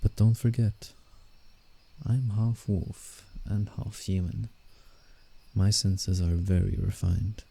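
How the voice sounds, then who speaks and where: Werewolf, male actor, roleplay ASMR. Low-pitched, slow-paced, guarded forest protector with a teasing streak and a soft heart. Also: fairly even intonation, creaky, breathy edge, full character voice. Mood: coaxing, sly. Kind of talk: roleplay ASMR, scripted character acting with inner monologue.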